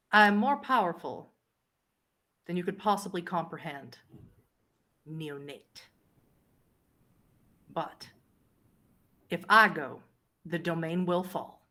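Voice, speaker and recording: A woman playing a haughty, powerful vampire ruler in a tabletop roleplay, measured and imperious, with deliberate pauses. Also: lowish voice, lively intonation, pushed and bright, full character voice. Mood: condescending. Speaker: game master.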